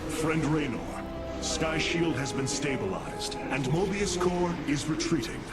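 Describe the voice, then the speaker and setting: An actor playing a noble, resolute alien warrior leader in a video game, speaking in formal, grave, measured oratory. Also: male voice, a little high, lightly acted. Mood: resolute, commanding. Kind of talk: video game cinematic acting. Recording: loud music over game cinematic.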